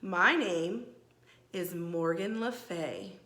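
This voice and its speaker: A woman voicing a calm, gracious old enchantress in a children's storybook, speaking in a measured, precise way. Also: lowish voice, reverberant, full character voice.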